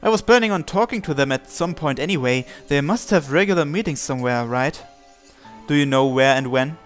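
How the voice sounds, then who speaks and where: A man with a somewhat high-pitched voice reads a visual novel aloud for a let's-play. He voices both the narration and every character's lines in his own plain voice. Soft game music plays quietly underneath. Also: animated, a little fast.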